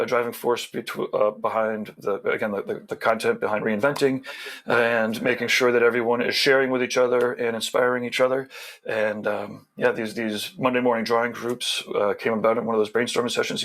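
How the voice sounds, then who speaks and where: A man's voice: medium pitch, level tone, a little fast, a bit bright, a little hiss or hum. A man on a video call who runs the show, reading out sponsor plugs in a rambling, casual way with many restarts. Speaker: show organizer.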